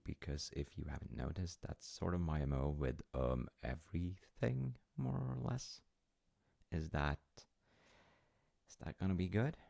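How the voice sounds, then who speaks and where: A man playing a gentle, loving, wise dad in an intimate audio roleplay, speaking tenderly and hesitantly in short phrases with a gravelly, breathy voice. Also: very deep voice, no echo, clean studio sound, heavily acted. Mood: tender, reassuring. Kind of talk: intimate audio roleplay, improvised-sounding storytelling.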